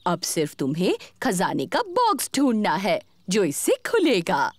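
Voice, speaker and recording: A woman's voice: mid-range voice, wildly swooping pitch, unhurried, dry recording. A woman voicing an encouraging grown-up female animal who guides children in a cartoon, in an instructive way. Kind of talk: children's cartoon dialogue, Hindi dub.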